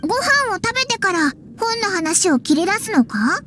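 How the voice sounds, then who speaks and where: Childlike fairy companion, video game, blunt, cheeky, squeaky-high, very animated. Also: female voice, moderate pace, soft background music, exaggerated voice. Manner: blunt, childish, boyish phrasing. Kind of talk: Japanese video game voice acting.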